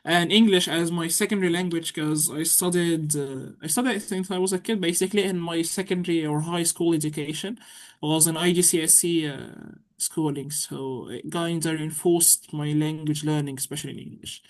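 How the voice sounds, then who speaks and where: A man's voice: on the high side, normal speed. Chatty young man on a call. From Egypt. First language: Arabic. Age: young adult. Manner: chatty, enthusiastic, repeats words when excited. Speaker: university student guest. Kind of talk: casual interview chat. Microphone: video call.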